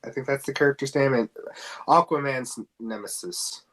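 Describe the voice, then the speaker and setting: Male podcast co-host, mid-pitched, casual and a little halting. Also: a bit bright. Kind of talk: casual podcast chat about fan casting.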